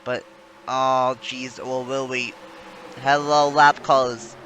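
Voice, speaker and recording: A man's voice: medium pitch, flat delivery, takes it slowly, bright, forceful tone, slight background noise, poor audio. A man giving rambling, self-correcting play-by-play commentary on a simulated stock car race. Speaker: sim racing commentator.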